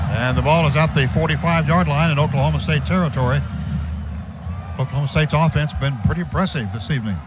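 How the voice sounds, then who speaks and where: A man calling a football game live on the radio as play-by-play announcer, calm between plays and rising sharply when the action breaks. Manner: rises in pitch and volume on big plays, calm between. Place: stadium radio booth. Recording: muffled lo-fi radio broadcast with background noise.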